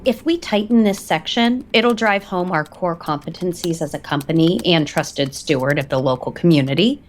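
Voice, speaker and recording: A woman's voice: fairly low pitch, normal speed, a little hiss or hum, natural voice. A woman playing a cool, insistent, controlling businesswoman in an audio soap opera, with clipped formal delivery. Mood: insistent, defensive. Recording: music bed under the dialogue.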